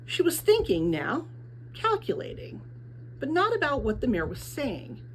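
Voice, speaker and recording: A woman reading a children's novel aloud as expressive scripted narration. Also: sits high, measured pace, a little hiss or hum, poor audio. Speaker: audiobook reader.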